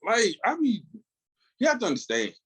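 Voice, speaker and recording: A man's voice: high-pitched, huge pitch swings, moderate pace, fairly bright tone, husky. A man with a Southern US accent chatting casually as a comics podcast co-host, telling stories colloquially and acting out quoted dialogue. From Tennessee, rural southern United States. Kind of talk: casual animated chat.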